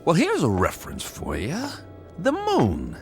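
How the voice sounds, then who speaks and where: An actor playing an irritable, sarcastic space traveler who sounds confined and fed up, in a radio drama. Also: male voice, a little high, wildly swooping pitch, a little slow, music in the background. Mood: mocking, exasperated. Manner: sarcastic, exasperated.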